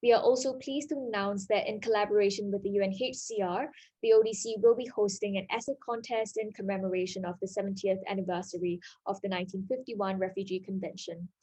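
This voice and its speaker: A woman's voice: medium pitch, steady tone, fast talker, warm tone, low-quality recording. A woman moderating an online panel, reading announcements and introducing speakers in a polite, formal way. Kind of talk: scripted event moderation.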